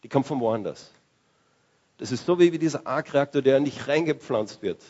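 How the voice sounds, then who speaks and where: A man preaches in a loose, conversational way, often restarting his sentences. His voice is husky and sits at a middle pitch. The recording is dull and lo-fi. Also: expressive, normal speed, a little mellow. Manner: conversational, with restarts and searching for words. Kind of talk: sermon.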